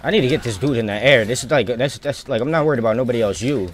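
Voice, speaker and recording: A man's voice: mid-range voice, very fast, slight background noise. A man giving casual live gameplay commentary as a streamer, thinking aloud in informal, slangy talk. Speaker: video game streamer.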